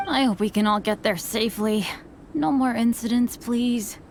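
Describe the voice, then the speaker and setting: A light, high boyish voice from a woman for an unlucky teenage game adventurer. He is earnest, good-natured and quick to apologise, with noisy ambience behind him. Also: lightly acted. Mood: anxious hope. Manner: earnest, self-deprecating. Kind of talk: video game voice acting.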